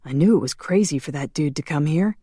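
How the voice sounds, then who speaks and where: A woman's voice: sits low, expressive, fairly quick, mellow, breathy edge, no room sound, clean studio sound. A woman narrator voicing a slangy young male vampire who mutters and grumbles in an audiobook. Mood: muttering.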